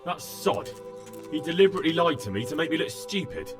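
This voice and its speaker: Earnest, lively young medieval man. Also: on the high side, a little fast, over music. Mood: indignant. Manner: direct, questioning. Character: earnest, plain-spoken young male errand runner in medieval times. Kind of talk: video game cutscene acting.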